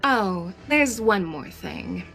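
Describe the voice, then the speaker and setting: A woman playing a shrewd, confident military commander in a video game, speaking in a measured, assured way. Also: medium pitch, sing-song, gravelly, a bit bright, exaggerated voice. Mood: commanding, teasing. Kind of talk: video game story dialogue acting. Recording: music under the voice.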